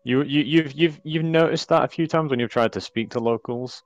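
A man narrating a tabletop horror game as game master, in a relaxed and wry way with many fillers. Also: medium pitch, moderate pace, dry recording, muffled recording.

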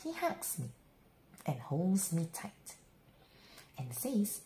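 Female storyteller for kids' picture books, unhurried and hugely expressive, dramatic phrasing, recorded in an echoey room with faint noise. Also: lowish voice. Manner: dramatic, deliberate phrasing with drawn-out words. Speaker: picture book reader. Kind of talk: expressive children's bedtime story read-aloud. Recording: roomy echo, faint background noise.

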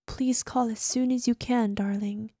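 A woman's voice: fairly high pitch, fairly even intonation, unhurried, warm tone, dry recording, studio quality. A woman playing an anxious, clingy girlfriend with a possessive, menacing streak in an ASMR voicemail roleplay, using sugary endearments. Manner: sugary pet names, hesitant pleading sliding into controlled threat.

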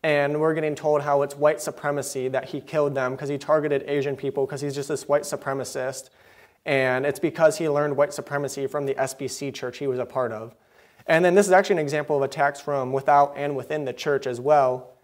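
A young man preaching a sermon from behind a pulpit into a pulpit microphone, earnest and mildly indignant, with quick asides. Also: medium pitch, fairly even intonation. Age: young adult. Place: church sanctuary, behind a wooden pulpit.

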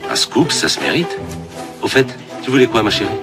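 An actor playing an absent-minded, excitable photographer father in a cartoon, dramatic and boastful. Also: on the low side, a little fast, gravelly, loud music behind the voice. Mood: hushed, patient. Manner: dramatic, boastful, easily carried away. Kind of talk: French cartoon dub acting.

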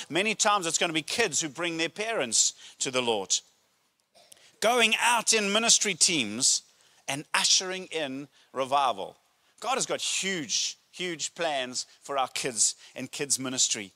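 High-pitched, bright-voiced man preaching. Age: middle-aged. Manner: emphatic, deliberate phrasing with pauses and rhetorical questions. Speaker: pastor. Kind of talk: sermon to a congregation. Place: church auditorium stage, indoors. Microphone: handheld microphone.

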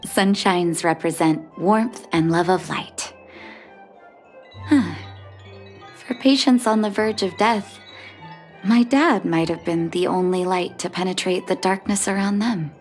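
An actress playing a gentle, composed young doctor and devoted daughter in a video game, soft-spoken and sincere. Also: female voice, on the low side, measured pace, lightly acted. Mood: wistful, tender. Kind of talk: scripted video game dialogue. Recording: music under the voice.

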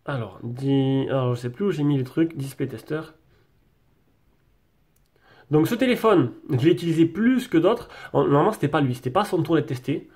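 A French man with a cold talks through a stuffed nose as he reviews a phone off camera, his voice pitched a little high. He chats loosely and often stalls on fillers and restarts. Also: expressive, brisk, hardly any pauses, a little mellow, slightly rough. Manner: chatty, hesitant, many fillers and restarts. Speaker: tech reviewer. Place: desk setup with a branded backdrop, indoors.

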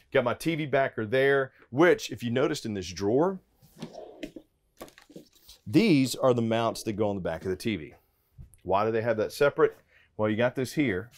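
A man's voice: lowish voice, no room sound. A man in his forties giving an upbeat, chatty walkthrough as an RV salesman, inside a trailer and then out on a dealer lot. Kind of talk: casual product walkthrough.

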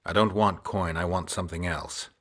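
An actor playing a stoic, curt monster hunter in a video game, with a terse, deadpan delivery. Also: male voice, low-pitched, moderate pace. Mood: flat, businesslike. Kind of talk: video game character acting.

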